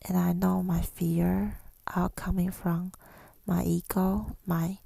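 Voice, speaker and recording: Slow, breathy whispering woman, creaky voice. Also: on the low side, little pitch movement, mellow, no echo. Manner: hesitant, reflective, pausing often. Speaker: ASMR creator. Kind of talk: ASMR, rambling personal reflection. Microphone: close microphone.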